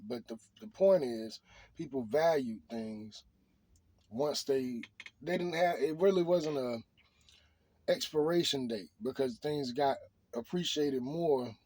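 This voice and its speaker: A man's voice: mid-range voice, darkish tone, breathy edge, no echo. A middle-aged man talking off the cuff in an opinion monologue, preachy and emphatic, often repeating his phrases.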